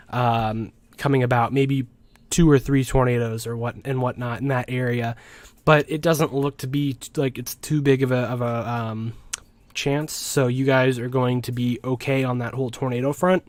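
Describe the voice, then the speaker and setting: A man with an American accent explains things as an informal weather forecast host, in a halting way with repeated words. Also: mid-range voice, no room sound. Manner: halting, explanatory, with word repetitions. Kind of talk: informal weather map commentary.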